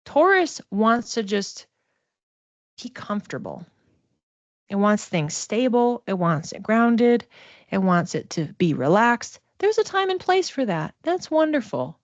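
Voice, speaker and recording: A woman's voice: mid-range voice, sing-song, measured pace, no room sound. Woman giving a spiritual reading, slightly dull sound. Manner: reassuring, conversational. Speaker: psychic oracle card reader and mentor. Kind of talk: informal spiritual reading addressed to one student.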